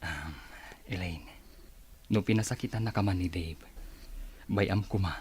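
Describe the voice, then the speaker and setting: Man acting a low, tender Ilocano consoler. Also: unhurried, darkish tone, breathy edge, exaggerated voice. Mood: tender, consoling. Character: soft-spoken, tender man consoling a heartbroken woman. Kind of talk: Ilocano radio drama acting.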